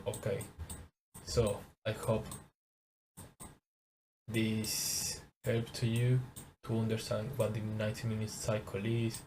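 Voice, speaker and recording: Young Venezuelan man, Spanish-accented English, low flat delivery, hesitant, faint noise. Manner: halting, repeats words, searches for English. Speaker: trading course teacher. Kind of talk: casual screen-share lesson.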